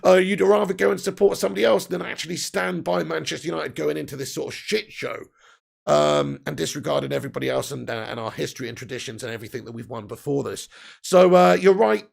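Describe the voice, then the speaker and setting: Croaky, gravelly man ranting. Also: on the high side, fast talker. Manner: heated, sweary ranting with laughs. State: croaky, tired voice. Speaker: football fan channel host. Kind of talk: live stream chat reading viewer comments.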